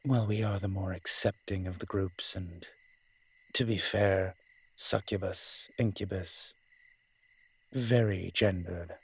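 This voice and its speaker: A man acting as a wry, flirtatious incubus demon in a roleplay audio drama, teasing and sardonic, with a husky, breathy voice. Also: low voice, fairly even intonation, slow pace, exaggerated voice. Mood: wry, explanatory.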